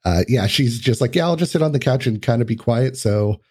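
Male podcast guest, medium pitch, dark soft tone with some rasp, relaxed conversational explaining. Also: a little fast. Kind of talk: casual interview chat.

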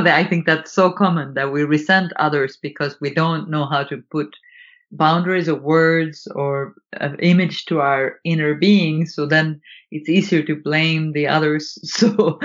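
Swedish-accented woman on dull lo-fi audio. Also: low voice. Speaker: guest, author and trainer in nonviolent communication. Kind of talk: reflective interview conversation.

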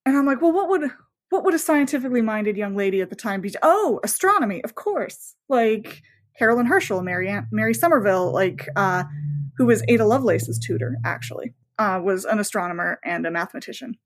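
A woman's voice: mid-range voice, lively intonation, fairly quick. A woman novelist talking casually as an interview guest, enthusiastic and rambling, often voicing her own thoughts as asides.

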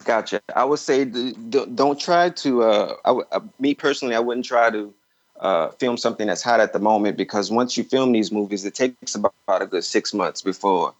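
Low-voiced man, dull lo-fi sound. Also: normal speed. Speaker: filmmaker guest. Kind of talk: casual interview answers.

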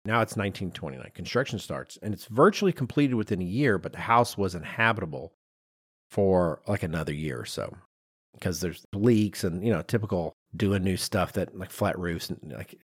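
Man, podcast host, lowish pitch, very lively intonation, informal explainer, storytelling, dry sound. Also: normal speed, slightly rough, no room sound. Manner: informal, animated storytelling with acted-out asides. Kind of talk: conversational explainer of design history.

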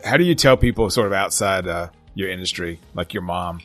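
A man's voice: low-pitched, fairly quick, fairly bright tone, soft background music. A middle-aged man hosting a podcast, interviewing in a conversational style. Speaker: podcast host. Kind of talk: conversational interviewing with scripted intro.

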